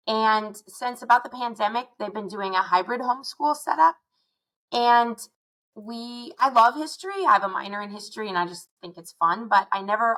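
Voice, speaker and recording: A woman's voice: mid-range voice, a bit bright, poor audio. A middle-aged woman from Arizona, a guest chatting casually and telling stories, often quoting her own thoughts. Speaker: guest, curriculum founder. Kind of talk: casual interview chat.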